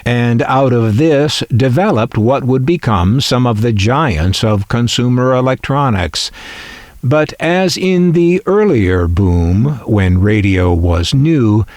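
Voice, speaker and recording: A man giving a measured, explanatory narration as a collector presenter, with wry, musing conversational asides. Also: medium pitch, lively intonation, a little mellow, dry recording. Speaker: collector presenting a vintage radio.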